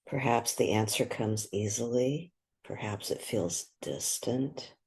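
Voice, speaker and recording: A meditation guide speaks very slowly in a soft, husky, breathy voice. The delivery is gentle and unhurried, with short phrases set apart by long pauses, guiding the listener step by step. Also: medium pitch, lively intonation.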